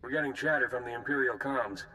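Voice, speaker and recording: A man's voice: medium pitch, fast talker, bright, forceful tone, distant mic, lightly acted, poor audio. An actor playing a wry male comms officer giving clipped radio reports, in a video game. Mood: reporting.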